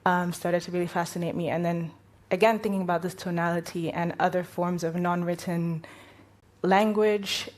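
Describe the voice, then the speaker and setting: A woman's voice: lowish voice, fairly even intonation, moderate pace, darkish tone. A woman on a live panel, speaking thoughtfully and searching for words as she reflects.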